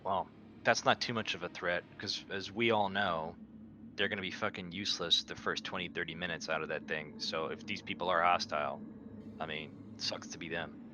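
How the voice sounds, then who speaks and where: A man's voice: low voice, steady tone, moderate pace, sharp tone. A man chatting casually as a player in a tabletop roleplaying game. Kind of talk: casual in-character game chat. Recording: clear background noise.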